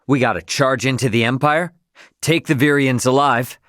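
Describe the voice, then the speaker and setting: A man's voice: medium pitch, steady tone, moderate pace, fairly bright tone, no echo, clean studio sound, close to an everyday voice. An actor playing a brash, informal young starship captain, a cocky but loyal man, in a video game. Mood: determined, businesslike. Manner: informal, slangy, plain-spoken. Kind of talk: English game dub character dialogue.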